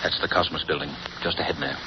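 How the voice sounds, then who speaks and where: An actor playing a calm, determined male hero detective in a 1930s radio drama, steady and commanding, on a muffled broadcast with loud hiss. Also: extremely low pitch, fast talker.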